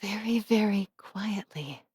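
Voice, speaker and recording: Brave young reporter gal from a video game, super breathy hushed voice, close and dry. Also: female voice, mid-range voice, slow pace, no echo. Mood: hushed, tense. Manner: hushed, often whispering to herself. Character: determined young woman reporter, brave and sharp-witted.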